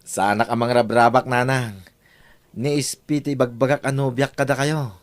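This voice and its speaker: A man's voice: mid-range voice, fairly even intonation, moderate pace, natural voice. A man acting a devoted, insistent young son in love in an Ilocano radio drama. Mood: earnest.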